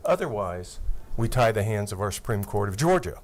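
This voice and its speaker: A man's voice: on the low side, very animated, a little fast, slight background noise. A man in his sixties, an attorney, testifying methodically before a legislative committee at a table microphone. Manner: earnest, methodical, reads legal language aloud. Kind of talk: formal testimony.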